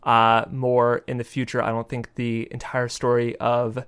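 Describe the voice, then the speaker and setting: A man's voice: medium pitch, completely flat delivery, a little slow, a bit bright, clean tone. A man talking casually and informally as an interview guest, with an easygoing way about him. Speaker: interview guest, audio engineer.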